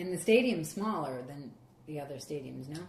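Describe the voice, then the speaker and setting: A woman with a Boston accent hosting a podcast interview in casual chat, laughing often and talking in quick run-on bursts. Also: deep voice, animated, roomy sound, a little hiss or hum. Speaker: podcast host.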